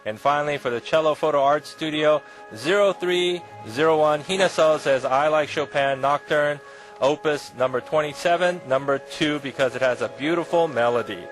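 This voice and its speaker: A man hosting an evening radio show, polite with a smooth announcer delivery. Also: fairly high pitch, moderate pace, soft background music, poor audio. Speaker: radio host.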